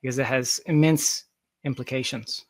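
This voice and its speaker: A man's voice: medium pitch, fairly even intonation, normal speed, a little mellow, no echo. Man thinking aloud, dry close sound. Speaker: interview guest, tech company founder. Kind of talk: conversational interview, speculating at length.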